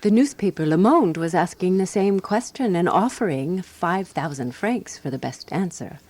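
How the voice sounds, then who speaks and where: A woman's voice: fairly low pitch, expressive, moderate pace, darkish tone. A woman playing a prim, wry 1920s Parisian resident, narrating a radio drama in a precise, dryly disapproving way. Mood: wry, reminiscing. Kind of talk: scripted first-person radio drama narration.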